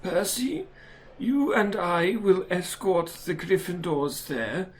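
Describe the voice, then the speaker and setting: A performer voicing an elderly male wizard headmaster in a fan audio drama, speaking formally and deliberately with calm authority. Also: medium pitch, slight background noise. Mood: grave, commanding.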